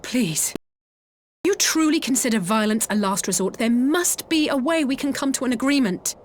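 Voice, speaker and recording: A woman's voice: sits high, expressive, moderate pace, a little hiss or hum. An actress playing an earnest, idealistic young woman in a video game, imploring others toward peace. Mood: pleading.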